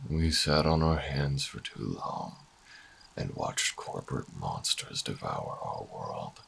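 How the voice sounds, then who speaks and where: A man voicing a grim, proud, weary old revolutionary in a sci-fi audio roleplay, speaking in grave, halting, terse phrases. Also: a bass voice, vocal fry, a bit bright, slight background noise, voice pushed down, heavily acted, poor audio. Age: older.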